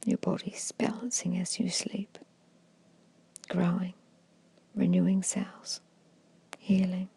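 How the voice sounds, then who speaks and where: A woman whispers a guided ASMR hypnosis meditation in a breathy, hushed voice, giving gentle, repetitive suggestions. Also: on the low side, flat delivery, takes it slowly, pauses often.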